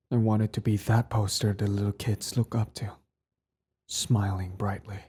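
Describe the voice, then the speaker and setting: A man playing a former hero turned villain in an ASMR roleplay, earnest and bitter, speaking in a measured, intense way with dramatic pauses. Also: lowish voice, warm tone, husky, full character voice. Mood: wistful.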